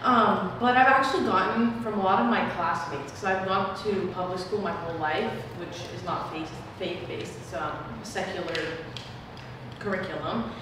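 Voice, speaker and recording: A nineteen-year-old woman chats eagerly as a guest, her casual speech peppered with "like." Her mid-range voice reaches the mic from across an echoey room. A long reverb and steady background noise surround her. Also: medium pitch, moderate pace, far from the mic. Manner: eager, chatty, uses "like". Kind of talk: casual discussion. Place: church room, small group at a table.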